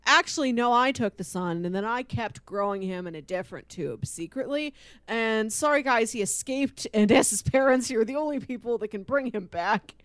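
A woman's voice: fairly high pitch, animated, normal speed, smooth, no room sound. A woman co-hosting a podcast, casually discussing comics in a playful way, often acting out paraphrased dialogue. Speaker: podcast co-host.

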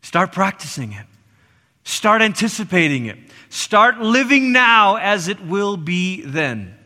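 Animated preacher in a reverberant church. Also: male voice, high voice, takes it slowly. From Malaysia. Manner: animated, swings between loud emphasis and soft hushed lines. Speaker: pastor. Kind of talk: sermon. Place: church sanctuary. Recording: long reverb of a church hall.

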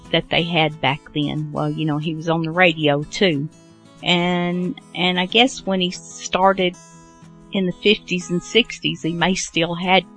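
A woman's voice: on the low side, a bit bright. A middle-aged or older woman hosting a radio show with casual, rambling introductions.

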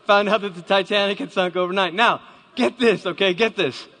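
A man tells a story on stage to a live audience, in a voice a little higher than most men's. He acts out every voice he quotes, with a dramatic storyteller's timing. A long reverb from a large hall trails behind each phrase. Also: steady tone, a little fast, pushed and bright. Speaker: speaker.

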